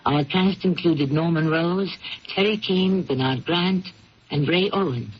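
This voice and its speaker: Formal male radio announcer, slightly high voice, declarative; muffled vintage broadcast. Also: unhurried, a little hiss or hum. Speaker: narrator and announcer. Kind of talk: scripted 1970s radio narration. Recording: dull old radio broadcast.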